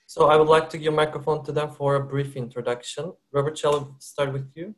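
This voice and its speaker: Male webinar host, fairly high voice, measured and hesitant delivery. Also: flat delivery, a bit bright. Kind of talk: corporate webinar presentation. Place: works for a company based in Turkey.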